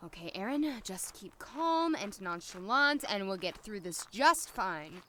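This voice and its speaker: A woman's voice: mid-range voice, very animated, unhurried, sharp tone, slight background noise. A woman playing an anxious, sarcastic young doctor in an audio drama, flustered and given to talking to herself. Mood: wry, nervous muttering. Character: anxious, wry young woman doctor, new lab worker in town. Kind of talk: audio drama acting with inner monologue.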